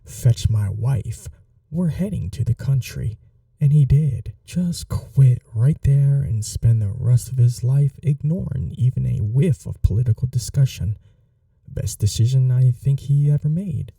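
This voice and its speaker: Man acting a very soft, dark-voiced gentleman vampire. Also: mid-range voice, creaky, dry recording, recorded from a distance. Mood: wry storytelling. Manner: unhurried, polite, soothing, slightly formal. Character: courteous, caring gentleman vampire host, gently old-fashioned. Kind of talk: intimate ASMR roleplay.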